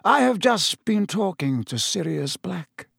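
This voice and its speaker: A man voicing a calm old headmaster in an audiobook, courteous and firm. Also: sits high, a little slow, vocal fry, husky, no room sound, clean studio sound, voice pushed up, heavily acted.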